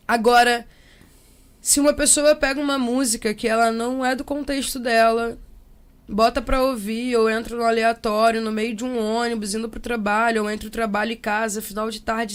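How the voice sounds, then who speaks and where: Casting: young Brazilian woman, slightly high voice, casual reflective interview talk, slangy and expressive. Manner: expressive, acts out imagined quotes, slangy. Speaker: interview guest, percussionist and samba singer.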